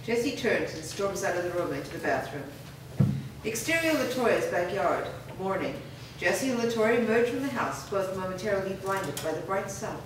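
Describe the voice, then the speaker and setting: A woman's voice: mid-range voice, expressive, moderate pace. A woman reading scripted stage directions as the narrator of a staged reading. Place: live reading in a reverberant room. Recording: echoey noisy room, distant microphone.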